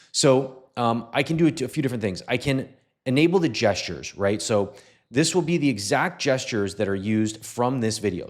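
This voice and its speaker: A man narrating a casual software tutorial in a conversational way, often checking in with short tag questions. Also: on the low side. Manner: conversational, often tags sentences with "right?". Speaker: tutorial host.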